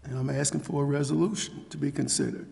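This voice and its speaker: Very gravelly man, high-pitched, at a meeting. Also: flat delivery, fast talker, darkish tone. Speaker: city council member. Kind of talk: formal remarks and questions at a public meeting. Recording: reverberant meeting chamber.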